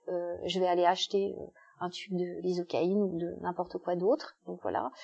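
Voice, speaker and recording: A woman's voice: medium pitch, mellow, dull audio. A woman giving an explanatory talk as a guest speaker, walking through everyday examples with frequent hesitations. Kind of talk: explanatory talk at a club meeting.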